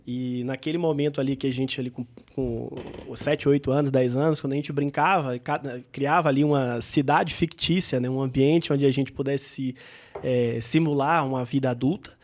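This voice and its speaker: A man speaks as an interview guest, recounting his path in a reflective, unhurried way. His voice carries a gravelly edge, and he often pauses and restarts mid-sentence as he gathers his thoughts. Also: medium pitch, steady tone, darkish tone. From Bahia, Brazil. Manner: reflective, with frequent hesitations and restarts. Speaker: guest entrepreneur. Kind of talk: interview storytelling.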